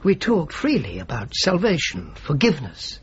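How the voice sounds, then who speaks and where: A man's voice: on the high side, wildly swooping pitch, normal speed, lo-fi sound, a little hiss or hum. An actor playing an earnest, discreet churchman in a radio drama, speaking hesitantly and thoughtfully. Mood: solemn.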